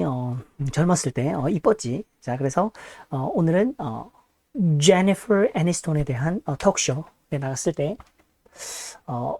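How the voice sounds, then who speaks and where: Korean lady doing a reaction vid, low mellow voice, dry sound, keeps stopping to find english words. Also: no echo. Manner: halting, searching for English words, switching into Korean. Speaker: reaction video host. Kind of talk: casual reaction commentary.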